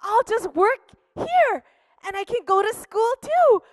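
Skit role: earnest young male teacher, played by a woman, very high voice, slow and dry, sighs and prays aloud. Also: sing-song, no room sound, in a large hall, exaggerated voice.

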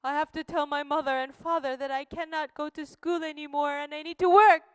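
A woman's voice: high-pitched, steady tone, brisk, no room sound, big-room sound, lightly acted. A woman playing an earnest young male teacher. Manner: earnest, prays aloud, sighs.

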